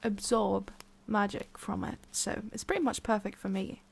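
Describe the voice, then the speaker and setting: A woman plays a centuries-old witch of the forest, talking straight to the listener in an ASMR roleplay. Her voice sits at an ordinary female pitch with plenty of fry. She is prickly and haughty on top, softer underneath, and muses haltingly as she goes. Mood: proud.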